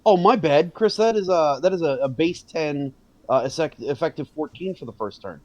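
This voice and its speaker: A man's voice: fairly high pitch, expressive, normal speed, dry recording, muffled recording. A man chatting as a player in a tabletop role-playing game, hesitant and full of restarts. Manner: hesitant, restarts, apologetic about rules. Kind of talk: casual tabletop game chat.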